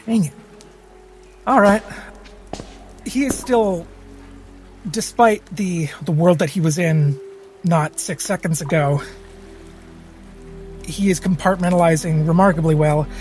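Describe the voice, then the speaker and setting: A man's voice: fairly high pitch, a little slow, pauses often, a little mellow, soft background music. A man playing in a tabletop roleplaying game, talking casually and narrating what his character does.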